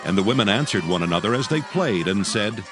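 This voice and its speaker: Deep-voiced man narrating scripture. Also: lively intonation, fairly quick, music in the background. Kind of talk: scripted scripture narration, dramatized audio book.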